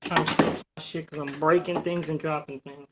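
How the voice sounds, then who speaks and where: A man's voice: on the high side, level tone, brisk, very noisy. A man from New Jersey hosting a live podcast, talking in a rambling, profane, streetwise way. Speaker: podcast host. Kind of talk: casual live podcast chat.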